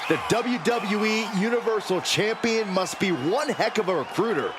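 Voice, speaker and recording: A man calling wrestling as an excited play-by-play commentator. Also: high-pitched, normal speed. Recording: loud arena crowd and noise. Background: roaring crowd.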